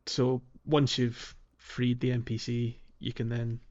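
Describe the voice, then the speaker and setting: A man's voice: mid-range voice, little pitch movement, takes it slowly, a little mellow. Lo-fi male podcast co-host, casual. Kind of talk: casual gaming podcast chat.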